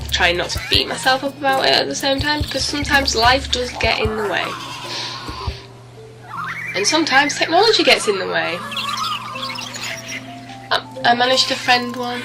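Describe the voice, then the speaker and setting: Woman with a creaky voice and a cold. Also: on the high side, rough recording. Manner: rambling, apologetic, self-correcting. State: getting over a cold, slight cough. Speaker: gaming video host. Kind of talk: casual let's play commentary, recorded over footage. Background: music.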